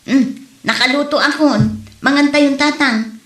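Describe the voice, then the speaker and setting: A woman's voice: sits high, measured pace, fairly bright tone, faint music behind. A woman with an Ilocano accent playing a weary, hot-tempered young wife and mother in an audio comedy drama. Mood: weary, plaintive. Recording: roomy echo, faint background noise.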